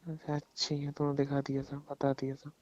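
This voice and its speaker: A man's voice: mid-range voice, completely flat delivery, fairly quick, darkish tone, no room sound. A young man in a casual private phone chat, talking in a teasing way with short questions. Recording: dull lo-fi phone call recording.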